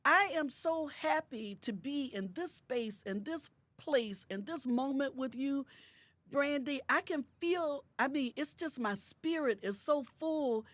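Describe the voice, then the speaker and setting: Woman, 50s, high-pitched, emphatic motivational delivery, repeated affirmations, dry studio sound. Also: lively intonation, slow, a bit bright, dry recording. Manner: emphatic, preacher-like repetition of affirmations. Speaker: guest, author. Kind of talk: motivational conversation. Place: podcast studio.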